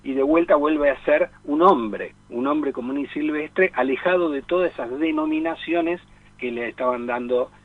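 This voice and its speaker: A man's voice: on the high side, normal speed, no echo. A man talking casually as a film expert on a radio programme, digressing and repeating phrases for emphasis. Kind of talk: casual radio discussion of cinema. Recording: tinny phone-like radio line.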